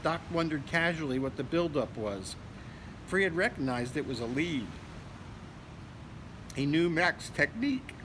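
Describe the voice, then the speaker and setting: A man reading a novel aloud as a volunteer reader, now and then stumbling over a word. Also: medium pitch. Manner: occasional stumbles over words. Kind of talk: audiobook-style reading of a novel. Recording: noisy outdoor ambience with vehicles.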